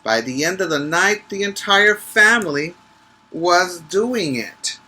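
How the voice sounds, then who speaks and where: Slow, bright-voiced man reading to young children. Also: high voice, expressive, slightly rough. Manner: instructive, checks in with short questions to young listeners. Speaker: teacher reading aloud to preschoolers. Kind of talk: read-aloud of a children's picture book with explanatory asides.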